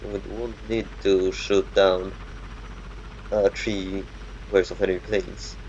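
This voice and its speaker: A man thinking aloud in a halting, muttering way while playing a video game. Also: sits low, little pitch movement, darkish tone, highs cut off, a little hiss or hum. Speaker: gamer. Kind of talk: gameplay commentary. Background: game music.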